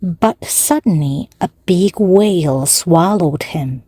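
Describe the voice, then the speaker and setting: Woman reading a storybook slowly. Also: lowish voice, lively intonation, dark tone, breathy edge, no room sound. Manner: measured, with pauses between phrases. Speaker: storybook narrator. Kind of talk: slow, clear read-aloud for language learners.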